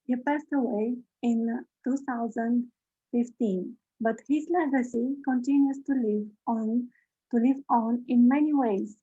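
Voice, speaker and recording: A woman giving a formal, measured welcome speech as host of an online session over a video call. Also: a little high, dark tone, slightly rough, highs cut off.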